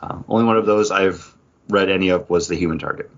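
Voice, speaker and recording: A man's voice: deep voice, fast talker, creaky. A man hosting a podcast, chatting casually and informally in an off-the-cuff way. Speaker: podcast host. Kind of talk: casual chat, reading out award nominees. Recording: dull, lo-fi podcast audio.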